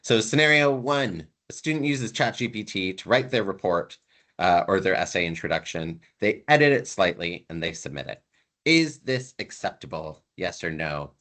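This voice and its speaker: Casting note: male lecturer raised in Canada, low pitch, bright edge, chatty and emphatic on a video call. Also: expressive, normal speed. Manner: conversational, emphatic, repeats words for stress. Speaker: university lecturer. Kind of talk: online lecture to students.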